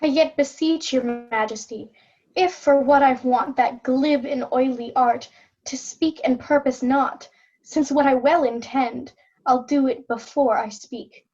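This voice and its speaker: A woman's voice: high voice, steady tone, unhurried, darkish tone, highs cut off. A woman playing an honest, dignified young princess, pleading earnestly in formal Shakespearean verse. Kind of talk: Shakespeare reader's theatre acting.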